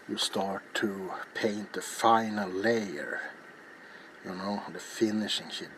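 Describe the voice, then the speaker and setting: A middle-aged man narrating a craft in a soft-spoken, hesitant ASMR style that slips into whispers. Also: deep voice. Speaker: ASMR video maker. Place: small cluttered indoor home workroom. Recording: clear background room noise.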